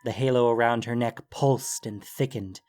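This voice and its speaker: A man narrating a scripted fantasy story in a solemn, dramatic way with deliberate phrasing. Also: medium pitch, steady tone, darkish tone. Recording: music bed under the voice. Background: background music.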